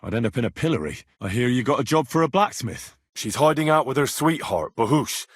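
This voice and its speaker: Husky, animated young male adventurer played by an actor. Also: mid-range voice, fairly quick, no echo, clean studio sound, close to an everyday voice. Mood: earnest, matter-of-fact. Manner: blunt, earthy, sweary, conversational. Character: plain-spoken young medieval adventurer, blunt, bargaining, quick to threaten. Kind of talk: video game dialogue acting.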